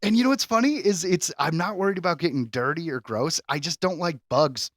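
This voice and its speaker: A man's voice: on the high side, a little fast, dry recording, studio quality. A man co-hosting a podcast, chatting casually and animatedly, laughing often. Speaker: podcast co-host.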